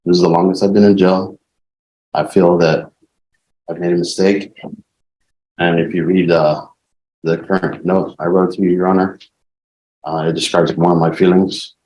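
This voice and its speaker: A man speaking as a defendant to a judge, deferential and halting. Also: deep voice, flat delivery, darkish tone, rough recording. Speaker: defendant addressing the court. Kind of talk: plea to a judge. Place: courtroom.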